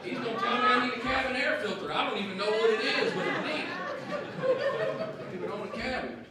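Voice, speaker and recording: A man's voice: very high voice, very animated, a little fast. Brash Southern man doing stand-up. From American South. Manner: brash, crude, self-mocking, punchy. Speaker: stand-up comedian. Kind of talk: stand-up comedy set. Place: comedy club stage. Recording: echoey club, distant mic, loud crowd. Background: audience laughter and noise.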